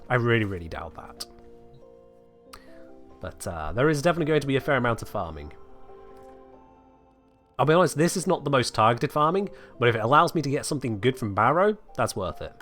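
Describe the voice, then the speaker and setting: A man's voice: medium pitch, fairly bright tone. Sick man chatting on stream. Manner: chatty, rambling, answers chat. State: sick, coughing. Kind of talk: casual live-stream chat while gaming.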